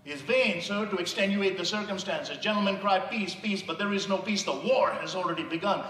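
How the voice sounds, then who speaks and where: An impassioned man with an Indian accent delivers a sermon-style speech, his voice bright and a little high. He swells into loud emphatic peaks. The sound is distant and echoey, as if picked up from across a large hall. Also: fairly quick. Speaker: motivational speaker.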